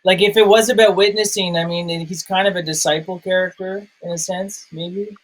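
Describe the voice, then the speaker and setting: Higher-voiced man, tentative movie chat. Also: steady tone, fairly quick, poor audio. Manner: tentative, hedging. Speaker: film review show co-host. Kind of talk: casual movie discussion.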